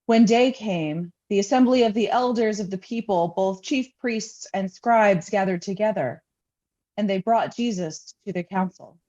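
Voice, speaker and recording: Woman pastor reading scripture dramatically. Soft-edged mellow tone, medium pitch, slightly lo-fi audio. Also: measured pace. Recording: dull, lo-fi sound.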